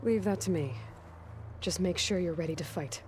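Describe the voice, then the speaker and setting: An actress playing a confident, pragmatic young heroine in a video game, plain-spoken and direct. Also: female voice, on the low side, normal speed, darkish tone, a little hiss or hum, lightly acted. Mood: determined. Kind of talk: video game character dialogue.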